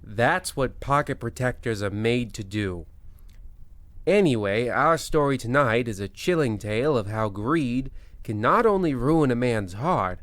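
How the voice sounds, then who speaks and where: Male horror-show host, mid-pitched, dry and close, theatrical and mock-spooky. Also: fairly bright tone, dry recording. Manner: theatrical, drawn-out dramatic pauses. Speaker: narrator and host.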